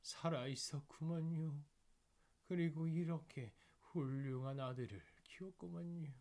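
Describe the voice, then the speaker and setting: Man voicing a grieving old woman, slow and raised. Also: fairly high pitch, darkish tone, voice pushed up. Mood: tearful, tender. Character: grieving elderly woman, tender, reunited with lost love's family.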